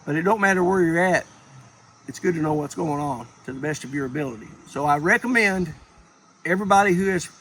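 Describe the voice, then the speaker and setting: A man talks to viewers in a folksy, plain-spoken way. His voice sits a little high for a man. He rambles informally as he explains things, with faint ambient noise behind him in an amateur recording. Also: a little fast, fairly bright tone, poor audio. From Appalachia, United States. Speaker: preparedness vlogger. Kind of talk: informal instructional talk to viewers.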